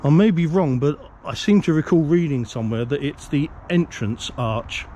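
A man presents a casual exploring vlog in a soft, mellow voice that sits a little high. He muses aloud and often asks himself questions. He is recorded outdoors, with some vehicle and ambient noise around him. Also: expressive, moderate pace. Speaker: presenter of a canal exploring series. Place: outdoors by a canal bridge and road.

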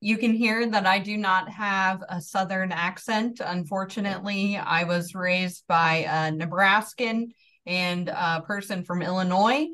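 A woman's voice: medium pitch, steady tone, a little slow, a bit bright. Earnest American woman, slightly distant mic. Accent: General American. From Northeast Georgia, USA. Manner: earnest, with frequent ums. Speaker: farm transition specialist guest. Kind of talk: conversational interview storytelling.

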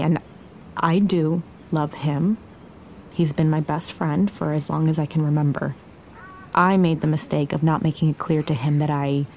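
A candid young woman in love in a romantic audio drama. Her voice is dark, soft-edged and fairly low, gentle and intimate, over faint ambient noise. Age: young adult. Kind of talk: naturalistic audio drama acting.

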